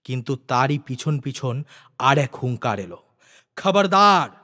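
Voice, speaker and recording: A man's voice: mid-range voice, unhurried, a bit bright. A man reading a literary story aloud as a dramatic audiobook narrator.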